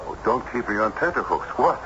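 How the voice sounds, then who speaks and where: An actor playing a curious, incredulous period judge who speaks formally and dramatically in an old radio drama. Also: male voice, mid-range voice, sing-song, fairly quick, close to an everyday voice. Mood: intrigued. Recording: noisy, muffled old radio recording.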